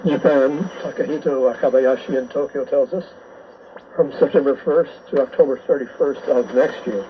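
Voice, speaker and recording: A male host reads a scripted radio bulletin in a measured way. It comes through a shortwave receiver, muffled and narrow in band, with light noise behind the voice. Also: mid-range voice. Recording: noisy, band-limited shortwave radio reception.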